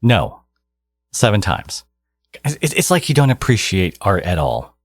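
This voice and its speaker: A man playing a theatrical, possessive supervillain in a scripted audio roleplay, scornful and grandiose toward his archnemesis, with a husky voice. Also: fairly low pitch, sing-song, measured pace, no echo, studio quality, heavily acted. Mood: exasperated, scornful. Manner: grandiose, exasperated, dramatic emphatic delivery.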